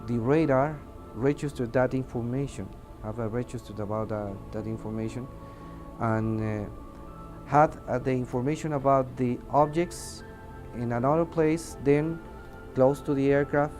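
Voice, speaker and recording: A man with a Mexican accent, a native Spanish speaker, giving testimony in an interview and haltingly searching for words in his second language. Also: medium pitch, darkish tone, soft background music. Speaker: air traffic controller giving testimony. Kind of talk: interview testimony, unscripted recounting.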